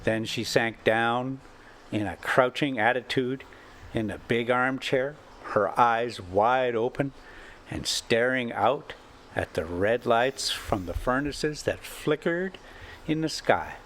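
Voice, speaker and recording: An older man reading a classic short story aloud as an amateur storyteller, in halting, broken phrases. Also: medium pitch, a bit bright, slight background noise. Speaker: storyteller and channel host.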